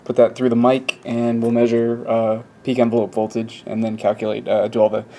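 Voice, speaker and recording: A man giving a casual, hands-on demonstration as a hobbyist video host, talking haltingly as he thinks aloud at an indoor workbench. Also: mid-range voice, completely flat delivery, warm tone. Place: indoor workbench with electronics gear. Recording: clearly noisy room ambience.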